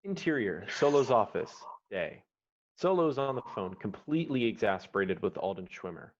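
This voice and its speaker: A man's voice: on the low side, very animated, normal speed. A man reading screenplay stage directions as dramatic scripted narration on a lo-fi online recording. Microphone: online call microphone.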